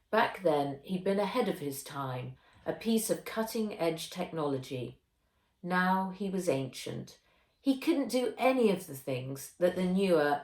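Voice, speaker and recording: A woman reading a children's novel aloud as its author, in a measured, expressive storytelling way. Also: deep voice. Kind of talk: children's audiobook narration. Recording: roomy echo.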